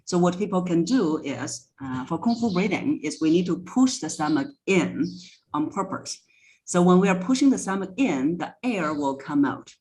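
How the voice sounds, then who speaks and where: A woman speaking as a guest voice coach in a podcast interview, explaining in a deliberate, instructive way and stressing key words. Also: lowish voice, a little mellow, rough recording.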